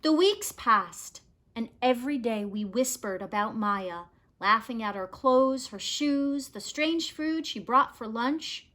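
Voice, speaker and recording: A woman reads a children's story aloud with a very bright, clear-cut tone. Her pitch sits fairly high, and she takes her time, shaping the phrases expressively like a storyteller with a picture book. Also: animated, takes it slowly.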